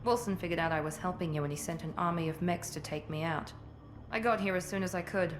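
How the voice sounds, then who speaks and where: A woman's voice: lowish voice, quick pace, noisy background, lightly acted. A woman playing a cool, self-assured, ruthless covert operative in a video game, with a clipped, haughty, businesslike delivery. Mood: cool, blunt. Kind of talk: video game cutscene acting.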